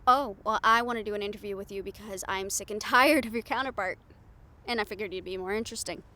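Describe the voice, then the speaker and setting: A woman's voice: medium pitch, fast talker, bright, forceful tone, natural voice. A woman playing a brash, cynical, foul-mouthed reporter in an improvised audio roleplay, with a blunt, sardonic manner. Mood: frank, wry.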